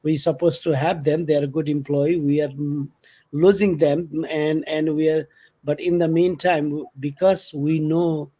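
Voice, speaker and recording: A man talking casually on a podcast about his company, speaking in halting with many fillers and restarts. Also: a little high, dark tone. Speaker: company vice president. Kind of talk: casual conversational podcast talk.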